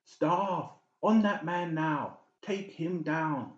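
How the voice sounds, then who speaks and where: A man's voice: a little high, drawn-out words, echoey room, muffled recording, pitched above the performer's own voice. A man playing a stern, commanding senior doctor in an audiobook drama. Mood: barking orders. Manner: stern, authoritative.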